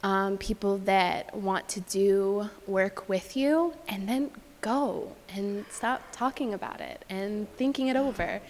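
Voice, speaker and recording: A woman speaking on a panel, casual and hesitant, with many fillers. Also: medium pitch. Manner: hesitant, many "um"s, laughs often. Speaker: panel speaker, pastor's wife. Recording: reverberant hall.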